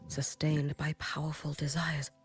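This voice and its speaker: A solemn warrior priestess in a video game. She is stoic and formal, low for a woman, over soft music. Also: level tone, measured pace. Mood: grave, restrained. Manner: formal, restrained. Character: solemn, stoic, ageless warrior shrine maiden, female. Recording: game audio with music underneath.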